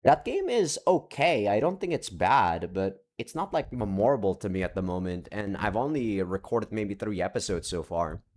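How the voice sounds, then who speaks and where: Young male let's-play creator, lowish voice, chatty, meandering, casually profane. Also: big pitch swings, moderate pace, few pauses, a little mellow. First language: not Japanese. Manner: rambling, self-interrupting, swears casually. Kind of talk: casual rambling update to viewers. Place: home room with several monitors.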